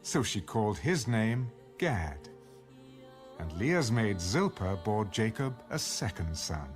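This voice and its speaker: A man narrating scripture from a script in a measured, solemn storytelling manner, as in a dramatized audio Bible. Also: lowish voice, sing-song. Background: music.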